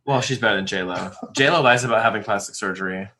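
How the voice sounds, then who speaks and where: A man's voice: on the low side, quick pace, pushed and bright. A man co-hosting a casual podcast, telling stories in a playful, chatty way. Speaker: podcast co-host.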